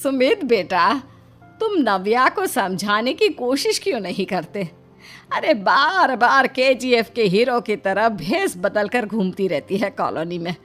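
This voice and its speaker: Woman voicing an exasperated motherly mother-in-law, animated. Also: fairly high pitch, a little slow. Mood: exasperated, pleading. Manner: scolding, fretful, motherly. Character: warm but exasperated middle-aged mother-in-law. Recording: music behind the voice.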